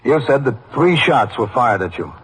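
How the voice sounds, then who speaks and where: An actor playing a stern, measured police captain in an old radio drama, questioning firmly and deliberately. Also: male voice, mid-range voice, big pitch swings, a little hiss or hum, lightly acted, low-quality recording. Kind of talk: old-time radio drama acting and narration. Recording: vintage radio drama recording.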